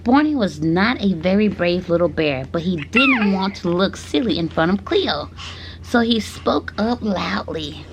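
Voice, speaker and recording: A woman reading children's stories aloud to her baby, speaking gently and breaking off for playful asides. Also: medium pitch, expressive, a little slow, noisy background.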